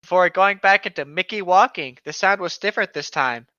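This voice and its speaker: A man co-hosting casual comedic read-alouds of internet horror stories, sarcastic, irreverent and quick to swear. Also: high-pitched, sharp tone, no room sound, dull audio. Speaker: co-host.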